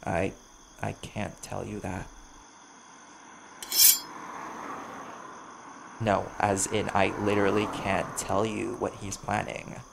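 A man voicing a wary, desperate vampire bounty hunter in an audio roleplay, blunt and guarded, with a gravelly voice. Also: fairly low pitch, completely flat delivery, a little slow. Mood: cautious, urgent. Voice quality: gravelly, creaky. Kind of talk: ASMR audio roleplay acting. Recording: clear background noise, chirping crickets.

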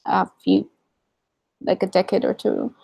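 A woman's voice: medium pitch, huge pitch swings, measured pace, vocal fry, mellow, no echo. A young woman speaking as a guest in a casual video-call interview from her living room, candid and wry. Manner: candid, wry, many fillers and asides. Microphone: video call webcam.

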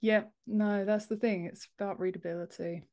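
A woman's voice: medium pitch, steady tone, normal speed, warm tone. A woman in her thirties speaking as an interview guest, informal and emphatic, on a video call. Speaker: podcast guest, author and book formatter. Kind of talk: casual interview explanation. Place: home office room, indoors. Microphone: earphone headset on a video call.

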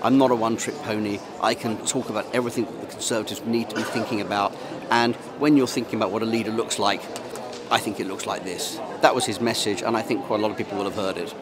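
A middle-aged man reporting on location at a conference venue, in a dry, measured analytical way. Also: on the low side, steady tone. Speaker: political commentator and reporter. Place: busy conference venue indoors.

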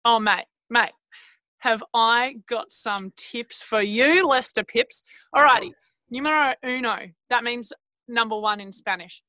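A cheery, clueless Australian prepper rambles in a chummy way, as a woman plays her. Her voice is high and forceful with a strong Australian accent. The sound is close and dry, over a video call. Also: measured pace, dry recording, low-quality recording. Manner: chummy, rambling, calls everyone mate. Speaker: guest. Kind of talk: improvised comedy character. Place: outdoors.

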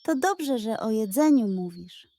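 A woman voicing a lively, knowing female goat who explains things eagerly and teases, in a children's audio story. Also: on the high side, unhurried, no room sound. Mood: teasing.

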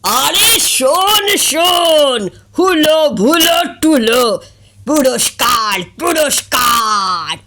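Female narrator putting on a blustering bossy man with a gravelly, bright edge, bellowing from a step back from the mic. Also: high-pitched, big pitch swings, drawn-out words, a little hiss or hum, heavily acted. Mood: shouting excitedly. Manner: shouting, bossy. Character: booming loud-voiced man, club secretary, blustering.

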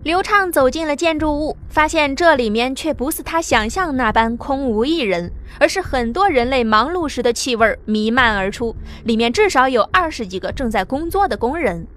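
A woman giving scripted audiobook narration with an even storytelling delivery. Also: sits high, normal speed, hardly any pauses, a little hiss or hum.

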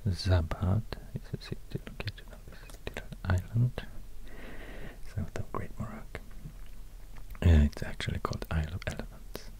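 A man with a Swedish accent speaking in soft ASMR whispers, halting and murmuring as he goes. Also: deep voice, low-quality recording. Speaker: ASMR video host. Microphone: close binaural microphone.

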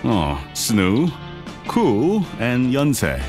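An older Korean dad in a comic podcast drama. He is solemn yet exuberant, with extremely animated pitch and loud music behind him. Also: drawn-out words, heavily acted. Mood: fond, earnest.

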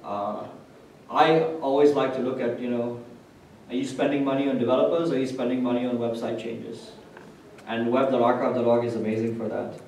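A man's voice: medium pitch, fairly even intonation, a little fast, a little mellow. A man giving a technical conference presentation in an explanatory manner, often checking his points with a short tag question. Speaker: conference speaker. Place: indoor hotel conference room with projector screen. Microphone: distant camera microphone. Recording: echoey room, distant mic, faint noise.

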